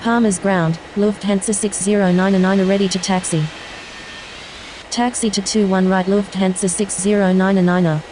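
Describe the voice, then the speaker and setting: Female pilot, flat and soft-edged, formulaic radio calls, light noise. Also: mid-range voice, normal speed. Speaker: airline pilot on air traffic radio. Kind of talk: scripted radio phraseology. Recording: faint background noise.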